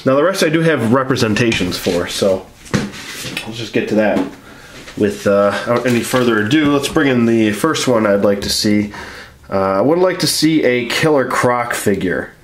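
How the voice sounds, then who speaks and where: A man with a middle-pitched voice, sick and stuffy-nosed, talks casually about his action figures. He rambles and hesitates, filling gaps with ums and uhs as he goes. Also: animated, moderate pace, slight background noise. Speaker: toy collector reviewing action figures. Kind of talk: casual review chat to camera.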